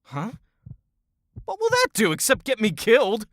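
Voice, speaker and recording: A man plays a nervous, easily startled adventurer in a fantasy audio drama. His voice is high and swings widely, naive and plaintive, in a dry studio sound. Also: wildly swooping pitch, normal speed, a bit bright, no echo, heavily acted. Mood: bemused, relieved.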